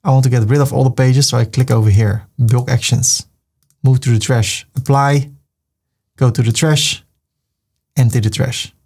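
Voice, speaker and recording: Calm man walking through clicks. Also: mid-range voice, moderate pace, vocal fry, pauses often, husky. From Netherlands. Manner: calm, step-by-step, narrates each click. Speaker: web design tutor. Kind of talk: screen-recorded software tutorial.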